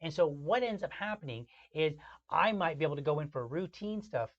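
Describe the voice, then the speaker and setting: A man's voice: fairly high pitch, lively intonation, brisk. A man hosting a casual podcast, affirming with "right" and restarting phrases as he explains. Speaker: podcast host. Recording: dull lo-fi podcast audio.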